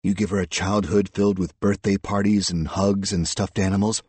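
A man's voice: low-pitched, level tone, moderate pace, a little mellow, breathy edge, no echo. A man voicing a scornful, coldly relentless female police detective who is sardonic and confrontational, in an audiobook story. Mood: cool, probing.

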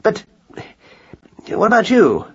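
A man's voice: high voice, big pitch swings, slow, fairly bright tone, breathy edge, highs cut off, voice pushed up, exaggerated voice. A man voicing a pompous, long-winded elderly male mouse in a children's audiobook, pedantic and hesitant, with formal afterthoughts. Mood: earnest, flustered.

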